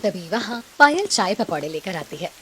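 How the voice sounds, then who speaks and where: A woman's voice: mid-range voice, very animated, moderate pace, a little hiss or hum. A woman reading scripted narration for a cartoon family story.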